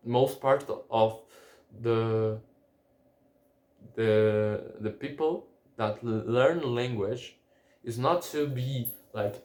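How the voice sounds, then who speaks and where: A young man from Brazil with a Brazilian Portuguese accent, speaking English as a second language in a casual monologue, halting with frequent restarts and repeated words. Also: medium pitch, darkish tone, reverberant.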